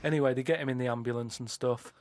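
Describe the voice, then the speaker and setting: A man's voice: mid-range voice, fairly even intonation, racing, a little mellow. A man telling a casual, rambling anecdote to friends in a vague, matter-of-fact way. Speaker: podcast co-host and storyteller.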